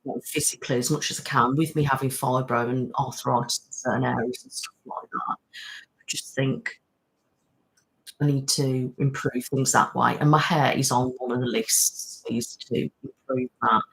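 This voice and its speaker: A woman's voice: rumbling low, fairly even intonation, normal speed, creaky, a bit bright, poor audio. Woman, thirties, rambling casual chat, close mic. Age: 30s. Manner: chatty, rambling, reads and answers viewer comments. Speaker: ASMR channel host. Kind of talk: casual live-stream chat.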